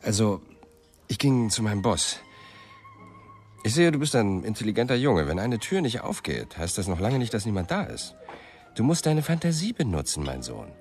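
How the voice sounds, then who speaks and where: Deep-voiced, moody male writer. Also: very animated, normal speed, a little mellow, soft background music. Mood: animated storytelling. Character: brooding male New York writer, self-doubting storyteller. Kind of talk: German radio play acting.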